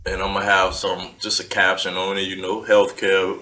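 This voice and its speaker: A man's voice: low voice, completely flat delivery, normal speed, pushed and bright. A man co-hosting a casual podcast chat, easygoing in manner. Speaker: podcast co-host.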